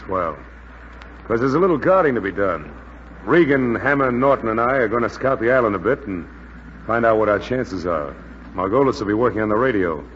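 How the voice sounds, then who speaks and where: A steady, weary commander of a stranded aircrew, acted by a man, speaking fairly low and clipped. It is old radio drama, muffled and very reverberant. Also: brisk, lightly acted. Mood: steady, determined. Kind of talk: 1940s radio drama acting. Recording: muffled, noisy, reverberant 1940s radio recording.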